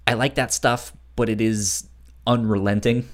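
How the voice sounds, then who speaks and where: A man's voice: on the low side, fairly even intonation, a little slow. A man co-hosting a podcast, discussing a book casually, with a frequent stutter that repeats sounds and syllables. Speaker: podcast co-host.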